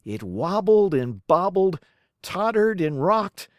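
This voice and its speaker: Lady reading a kids book out loud, super slow n dramatic, bouncy pitch, dry close sound. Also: big pitch swings, no echo. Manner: theatrical, deliberate, rhythmic. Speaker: read-aloud storyteller. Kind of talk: expressive children's storybook narration.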